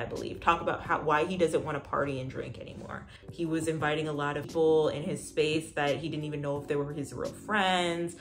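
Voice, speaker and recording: Low-pitched young woman chatting candidly. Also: a bit bright. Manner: candid, colloquial, with slangy asides. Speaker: host of a personal vlog channel. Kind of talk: casual opinion chat to camera. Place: room at home, indoors by a door.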